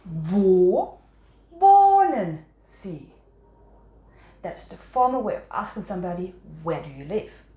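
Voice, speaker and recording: A woman's voice: medium pitch, very animated, takes it slowly, mellow, roomy sound. A woman teaching a language quiz to viewers, instructive, repeating and carefully enunciating her example sentences. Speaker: language teacher and channel host.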